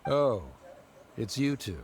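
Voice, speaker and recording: A man's voice: medium pitch, lively intonation, slow, husky, no room sound, full character voice. An actor playing a reserved, formal scholar father with hidden tenderness in a video game. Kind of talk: dramatic game character dialogue.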